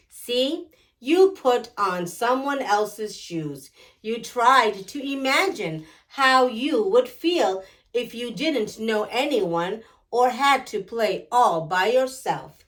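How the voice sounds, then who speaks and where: A woman with a fairly high voice reads aloud for children at an unhurried pace. Her delivery swings expressively up and down as she teaches and asks the young listeners questions. She sounds some distance from the microphone. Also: lively intonation, fairly bright tone. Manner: addresses young listeners directly with questions, instructive. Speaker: children's read-aloud storyteller and host. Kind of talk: expressive picture-book read-aloud for children.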